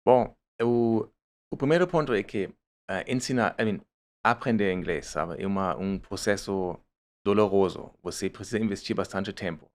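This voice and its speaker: A man's voice: lowish voice, moderate pace, a little mellow. A man from Germany speaking with a German accent, a startup founder answering interview questions in a hesitant way, often repeating words and mixing in English. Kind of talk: casual interview talk.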